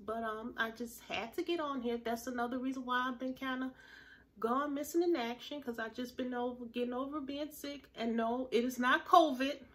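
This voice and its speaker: A woman's voice: sits high, steady tone, moderate pace, fairly bright tone. A woman in her thirties chatting to viewers in a haul vlog, a little nasal from being sick, recorded on a phone at home. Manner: chatty, upbeat, addresses viewers directly. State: slightly nasal, recovering from illness. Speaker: vlogger. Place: small room at home, plain grey wall.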